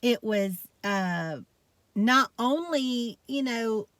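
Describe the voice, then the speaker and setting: Female vlogger, strong Southern country accent from South Georgia, leisurely folksy chat, higher-set voice, dry sound. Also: expressive, extremely slow, a bit bright, dry recording. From South Georgia, United States. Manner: folksy, chatty, rambling. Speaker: health and fitness vlogger. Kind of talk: casual chat to camera.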